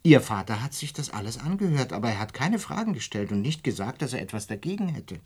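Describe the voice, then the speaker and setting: An earnest amateur butterfly breeder in a radio drama. His medium-pitched voice has a husky grain. He speaks formally and awkwardly, explaining things with pedantic care and some hesitation. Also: sing-song, fast. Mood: eager, earnest. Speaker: narrator and main character. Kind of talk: German radio play, dialogue and reflective narration.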